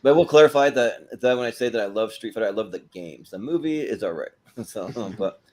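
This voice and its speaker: Man, panel host, medium pitch, casual, conversational, prone to chuckling. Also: animated, a little fast, a little mellow. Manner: chuckles often while talking. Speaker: host of a film tournament panel show.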